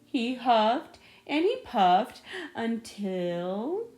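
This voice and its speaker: Animated, slow-paced woman reading a storybook. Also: a little high. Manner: animated, dramatic build-ups. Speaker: storybook reader. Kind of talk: expressive children's read-aloud narration.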